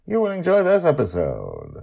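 A man's voice: fairly high pitch, huge pitch swings, moderate pace, vocal fry, darkish tone, husky. A man hosting a storytelling podcast, speaking in theatrical, emphatic short phrases. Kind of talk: dramatic podcast narration and commentary.

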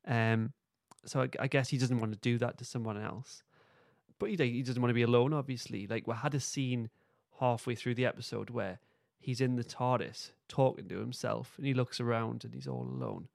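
Man, creaky mid-pitched voice, close dry sound, casual recap, hesitant, podcast co-host. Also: normal speed, darkish tone, no echo.

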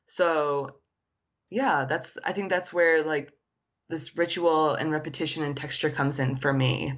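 A woman's voice: sits low, fairly even intonation, measured pace, muffled recording. A woman artist whose first language is Arabic, answering interview questions in an earnest, reflective way, with frequent "like" fillers. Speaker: artist guest.

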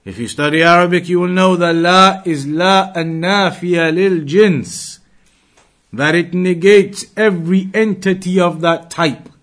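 A man with a high-pitched voice delivers a religious lesson as an Islamic teacher. He is didactic and emphatic, returning to key phrases to drive them home. He moves between explanation and recited quotations. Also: fairly even intonation, normal speed, a bit bright.

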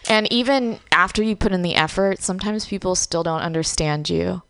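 A woman in her thirties, from Vietnam, talks loosely and laughs often as a guest on a casual podcast. Her voice is smooth and clear. The sound is dry and close. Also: fairly low pitch, brisk, a bit bright, no echo. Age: 30s. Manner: chatty, laughing, heavy filler words. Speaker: comedian guest.

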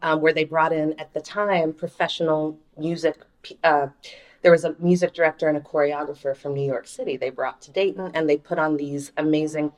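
A woman with a low voice speaks as a guest in an interview. She recalls her early years in a measured, even way, pausing on ums. She is from Ohio. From Ohio, United States. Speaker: guest, music director. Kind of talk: conversational interview.

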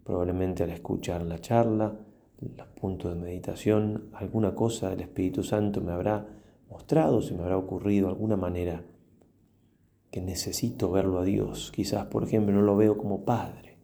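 Male priest, low and mellow, reverent and unhurried, for guided prayer meditation. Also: steady tone, gravelly. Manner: slow, reverent, with long pauses.

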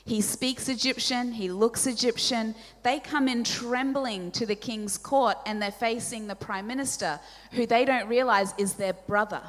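Australian woman preacher, 40s, raised speaking Polish, lively storyteller, slightly high voice, long church reverb. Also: a little slow, few pauses. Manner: animated storytelling, self-deprecating, asks the audience questions. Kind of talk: sermon. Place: large church hall.

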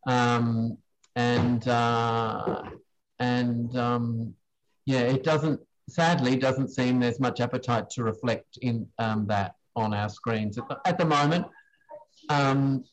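A man giving unscripted, hesitant answers in a question-and-answer session, halting as he searches for words. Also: medium pitch, steady tone, rough recording. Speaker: guest speaker, screen industry professional answering questions.

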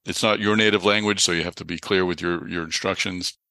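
A male podcast host with a very low voice and a bright, forceful edge. He frames long, friendly questions for his guest. The recording is dry, with no room sound. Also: fairly even intonation, brisk, gravelly, no room sound. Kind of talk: conversational interview questions.

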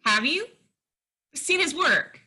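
A woman's voice: high voice, animated, slow pace, bright, forceful tone, exaggerated voice. A woman playing a naive young would-be model in a play reading, hesitant and full of questions. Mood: innocent, puzzled.

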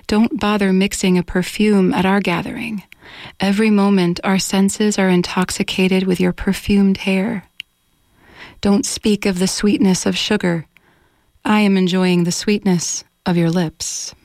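A woman's voice: medium pitch, level tone, unhurried, darkish tone, no echo. A woman singer-songwriter in a casual radio interview, chatty, searching for words and laughing often. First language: English. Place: radio studio.

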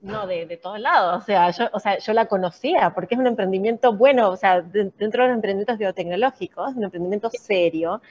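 Argentine woman scientist, dull lo-fi audio. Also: medium pitch. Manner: measured, explanatory with fillers. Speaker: chief science officer, guest. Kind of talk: casual interview.

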